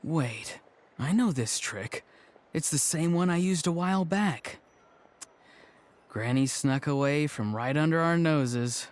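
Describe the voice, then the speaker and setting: A man's voice: fairly high pitch, expressive, slow. A man playing a friendly, level-headed young man with a casual, teasing manner in a video game. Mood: puzzled. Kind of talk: video game cutscene voice acting.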